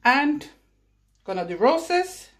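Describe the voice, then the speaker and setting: Slow-talking Norwegian woman demonstrating watercolor. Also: sits high, very animated. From Norway. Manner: chatty, self-deprecating, laughs often. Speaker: watercolor artist. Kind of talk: casual painting demonstration. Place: indoors at a painting table. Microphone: phone.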